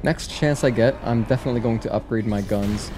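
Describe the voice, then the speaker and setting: A man's voice: medium pitch, fairly quick, noisy background. A man doing casual, chatty gameplay commentary as a livestreamer, thinking aloud as he goes. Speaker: video game livestreamer. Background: game music.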